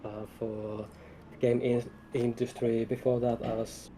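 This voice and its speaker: A man of average pitch speaks with little melody, as a game developer being interviewed on a casual stream. His phrases come hesitantly, in short pieces. Faint music plays behind him. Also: level tone, unhurried, dark tone.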